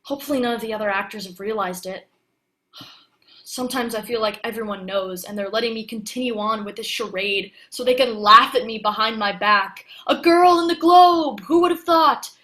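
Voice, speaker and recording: A woman's voice: on the high side, moderate pace, a bit bright, lightly acted. Woman acting passionate, guarded young actress in disguise. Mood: bitter, confiding, then elated. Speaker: actress in an audio play. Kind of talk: theatrical audio drama monologue.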